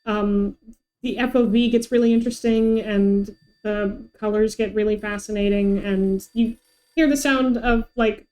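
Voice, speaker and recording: A woman's voice: medium pitch, steady tone, a little slow, warm tone, poor audio. A woman chatting casually as she streams a video game, speaking into a close mic. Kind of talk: casual livestream chat.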